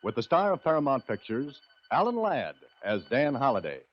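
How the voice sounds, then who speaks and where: A man's voice: mid-range voice, wildly swooping pitch, moderate pace. A man announcing a radio drama in 1940s broadcast style. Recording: muffled old radio recording, music behind. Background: music.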